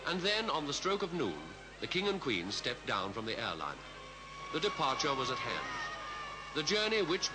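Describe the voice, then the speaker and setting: A man with a British Received Pronunciation accent reading scripted 1950s newsreel commentary in a formal, measured announcer style. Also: mid-range voice, rough recording. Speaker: newsreel narrator. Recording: vintage 1950s newsreel soundtrack with music.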